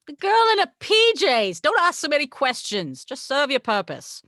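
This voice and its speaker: A woman's voice: high voice, huge pitch swings, moderate pace, a bit bright, dry recording, clean studio sound, heavily acted. A woman reading the part of a haughty, sarcastic angel in a script table read, with a wry, exasperated, condescending manner. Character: haughty, sarcastic tequila-loving angel, the voice of God.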